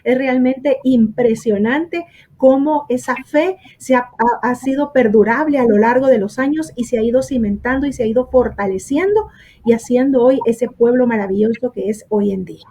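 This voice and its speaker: Mellow-voiced woman TV host. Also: fairly high pitch, normal speed, husky. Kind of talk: talk-show hosting.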